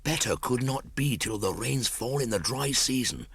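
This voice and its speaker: A man's voice: mid-range voice, lively intonation, normal speed, vocal fry, a bit bright, breathy edge, voice pushed up, exaggerated voice. A man voicing a bold, eager young jungle boy for a storybook, declaiming confidently in archaic phrases. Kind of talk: audiobook storybook character acting.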